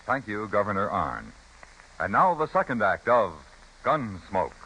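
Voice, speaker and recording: A man's voice: fairly low pitch, very animated, a little slow, pushed and bright, breathy edge, poor audio. An American man announcing formally and emphatically in scripted 1950s radio style. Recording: old radio broadcast recording.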